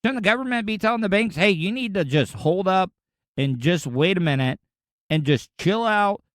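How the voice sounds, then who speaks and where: A sarcastic man from Florida rants through the news on his podcast, rambling and indignant. His voice sits a little high for a man. The sound is dry and close, with no room around it. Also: expressive, normal speed, fairly bright tone, no room sound. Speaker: podcast host. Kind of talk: casual ranting commentary.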